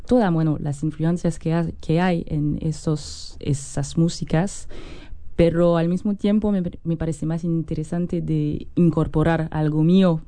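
A French woman speaking Spanish with a French accent as a musician guest in an interview, pausing and restarting as she searches for words. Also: sits low, steady tone, mellow, no room sound. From France.

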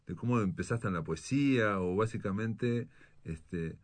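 Male interviewer, Uruguayan accent, fairly low voice, chatty and halting delivery. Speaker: radio show host. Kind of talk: conversational literary interview.

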